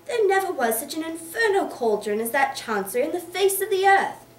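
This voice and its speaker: A woman voicing a hearty, explosive, blustering older English gentleman in an emphatic, theatrical reading of a Victorian novel. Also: extremely high pitch, very animated, brisk, pitched above the performer's own voice. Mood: indignant, blustering. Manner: emphatic, theatrical declaiming in long tirades. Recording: echoey room, distant mic, faint noise.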